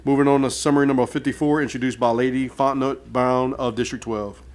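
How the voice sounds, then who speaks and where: A man's voice: mid-range voice, fairly even intonation, fast talker. A man chairing a council meeting in formal parliamentary procedure, speaking into a meeting microphone. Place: public meeting room.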